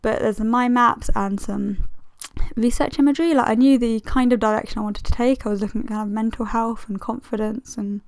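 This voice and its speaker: A woman's voice: on the high side, fairly even intonation, a little fast, no room sound. A woman in her twenties with a slightly strained voice, giving a chatty, self-deprecating, rambling commentary as a casual video host. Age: 20s. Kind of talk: casual sketchbook tour commentary.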